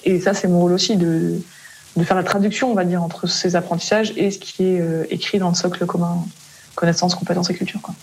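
A woman's voice: lowish voice, flat delivery, fairly quick, darkish tone, husky, rough recording. A French woman being interviewed as a parent, explaining casually in colloquial speech that often trails off into tag words.

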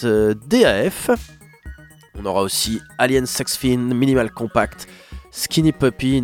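A man hosting a radio music show, talking casually with a stuffy nose and a hoarse voice, rambling with many fillers. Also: mid-range voice. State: congested and hoarse. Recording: radio studio with faint music underneath. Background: faint instrumental music.

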